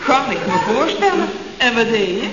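High, swooping inquisitive woman. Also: big pitch swings, normal speed, crowd noise. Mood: curious. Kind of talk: radio comedy serial acting. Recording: dull echoey radio recording, loud audience.

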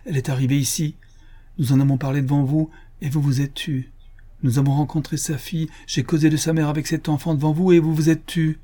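A man's voice: fairly high pitch, little pitch movement, brisk, dark tone, pitched above the performer's own voice, natural voice. A man voicing a desperate pleading young woman. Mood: distraught. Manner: desperate, imploring. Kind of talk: audiobook character reading.

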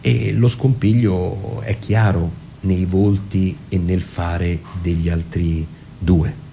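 A man giving a theatrical literary reading, musing and pausing deliberately as he narrates. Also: low-pitched, steady tone, creaky, warm tone, a little hiss or hum. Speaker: narrator and performer.

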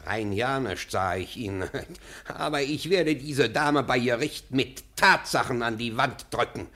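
A man's voice: medium pitch, measured pace, bright, forceful tone. An actor playing a blustering, pedantic male investigating official in a German radio play, with a theatrical, sarcastic, emphatic delivery. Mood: stern, self-assured. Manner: theatrical, emphatic, sarcastic, swinging from muttering to barking.

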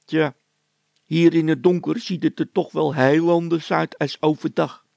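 A man's voice: a little high, steady tone, measured pace, vocal fry, darkish tone, no room sound, voice pushed up. A man voicing a nervous, grumbling labourer who is scared of ghosts, with a whining, worried way of talking.

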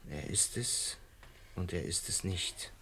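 An actor playing a gentle, melancholic butterfly enthusiast in a radio drama, measured, reflective and hesitant, with a husky voice. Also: male voice, low voice, a little hiss or hum, exaggerated voice. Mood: firm, calming. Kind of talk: radio drama acting and first-person narration.

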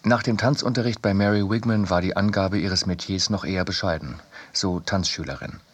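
Deep, husky man reading in a dry studio. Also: a little fast. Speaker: second reader of a literary essay. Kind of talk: scripted narration in a radio production.

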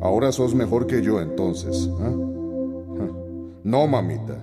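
An actor playing a cynical, greedy, taunting husband in a radio drama, with a Central American accent. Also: male voice, fairly low pitch, expressive, a little slow. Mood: mocking, scornful. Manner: sneering, taunting. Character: cynical, greedy, manipulative husband, mocking his wife. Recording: long echo, loud music behind.

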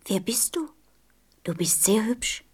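A woman's voice: medium pitch, expressive, slow pace, a little mellow, slightly rough. Earnest, lonely little boy prince. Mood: polite, sad. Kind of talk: radio play acting.

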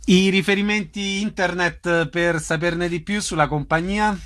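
A man's voice: sits high, steady tone, measured pace, fairly bright tone. A man hosting a radio interview, putting short questions and prompts to a guest.

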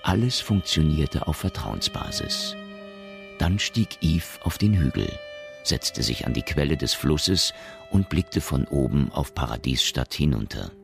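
A man narrating a science fiction radio play in standard German, in a measured storytelling manner. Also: rumbling low, sing-song, creaky, music in the background, exaggerated voice. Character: omniscient male narrator of a science fiction tale. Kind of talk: scripted audio drama narration.

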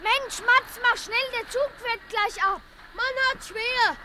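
Sehr hohen Schuljungen, lautes Hintergrundgeräusch. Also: female voice, extremely high pitch, slow. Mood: hurried. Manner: colloquial, calling out. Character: schoolboy, hearty, caring, leaving by train. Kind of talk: radio play dialogue. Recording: very noisy outdoor train platform scene.

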